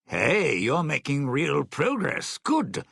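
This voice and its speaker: An actor voicing a suave, bitter, century-old lounge singer in a video game, a sardonic and scheming showman with a raspy voice. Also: a little high, huge pitch swings, takes it slowly, fairly bright tone, dry recording, clean studio sound, heavily acted. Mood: wry, friendly. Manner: theatrical, sardonic showman talking in stage metaphors.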